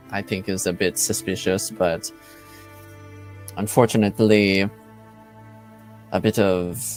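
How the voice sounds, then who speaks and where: A man playing a grave, courteous teacher in a tabletop game, wary of intrigue and talking in a measured, formal way. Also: on the low side, darkish tone, close to an everyday voice.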